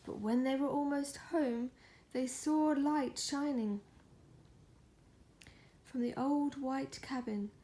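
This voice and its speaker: A woman reading a picture book softly in an ASMR storytime style, often slipping into a whisper and cooing to a pet. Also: high-pitched, steady tone, slow pace, long pauses. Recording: faint background noise.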